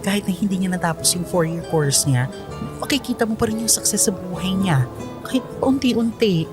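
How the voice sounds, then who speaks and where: An actress playing an excitable, gushing young woman, a best friend in a radio drama. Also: medium pitch, brisk, natural voice. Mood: gushing, proud. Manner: exclamatory, gushing, Taglish. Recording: music behind the voice.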